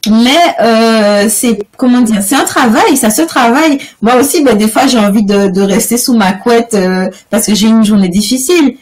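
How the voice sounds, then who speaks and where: A woman's voice: fairly high pitch, moderate pace, hardly any pauses, a little mellow. Hesitant Guadeloupean-accented woman. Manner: hesitant, many restarts. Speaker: business and finance coach. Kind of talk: interview guest, casual talk.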